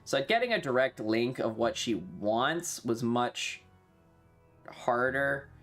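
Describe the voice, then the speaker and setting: A man chatting casually on a livestream, rambling and halting, swearing freely as he talks. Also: mid-range voice, lively intonation, fairly bright tone, faint music behind. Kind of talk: casual rambling stream chat.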